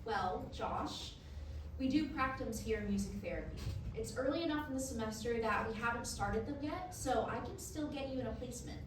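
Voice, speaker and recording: A woman playing a brisk, encouraging music professor in a staged reading, giving instructions. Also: on the high side, animated, lightly acted. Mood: friendly, businesslike. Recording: very echoey room, far, noisy.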